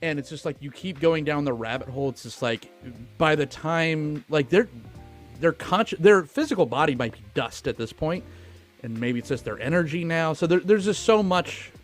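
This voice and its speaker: A man co-hosting a podcast, talking casually about film in animated, stop-start phrases with some swearing. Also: on the high side, moderate pace, soft background music. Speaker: podcast co-host.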